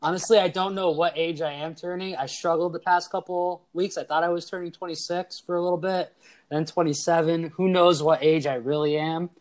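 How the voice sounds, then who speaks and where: A man in his twenties hosting a casual sports podcast, energetic and teasing, with quick asides. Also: on the high side. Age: 20s. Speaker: podcast host.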